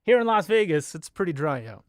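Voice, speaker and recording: A man with an American accent chats off the cuff as a livestream host. His voice sits a little high and is very clean and smooth, recorded close and dry. He rambles informally and jokes along with his viewers. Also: very animated, fairly quick, dry recording. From Los Angeles, United States. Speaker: bass player and livestream host. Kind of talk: casual livestream chat.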